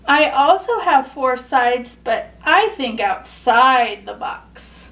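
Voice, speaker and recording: A woman's voice: high-pitched, animated, slow, fairly bright tone, distant mic, full character voice. A woman voicing an eager, cheerful trapezoid character from a picture book, keen and pleading to be included. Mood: hopeful. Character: eager, cheerful trapezoid shape who wants in.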